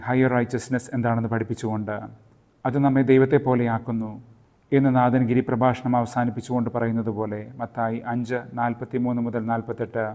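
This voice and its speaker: A man, a friar and podcast host, giving a scripted Bible reflection in measured, literary phrasing. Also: mid-range voice, warm tone.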